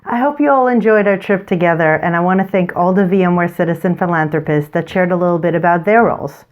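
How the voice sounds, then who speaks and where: A woman's voice: fairly low pitch, fast, narrow, tinny audio. A woman host addressing viewers in an encouraging, scripted presenting style.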